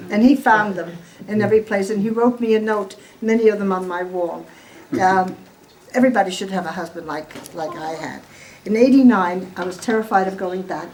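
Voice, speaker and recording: A woman's voice: medium pitch, a little mellow, slight background noise, poor audio. An American woman in her eighties giving informal, emphatic spoken testimony to guests at home, often putting questions to her listeners. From born in Poland, raised in the United States. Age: 80s. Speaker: Holocaust survivor giving testimony. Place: at home, room with gathered guests.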